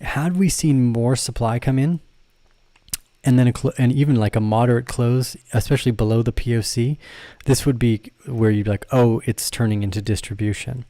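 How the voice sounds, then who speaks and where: A man hosts a casual trading livestream, talking through charts in a loose, rambling way. He often corrects himself mid-sentence and laughs along with his audience. His voice is mellow and relaxed, recorded dry with no room sound. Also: mid-range voice, normal speed, dry recording. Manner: addresses viewers and reads their comments. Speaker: crypto trading channel host.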